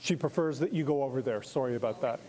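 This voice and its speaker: A man's voice: medium pitch, fast, warm tone. A man moderating a public event informally, with a polite, businesslike manner.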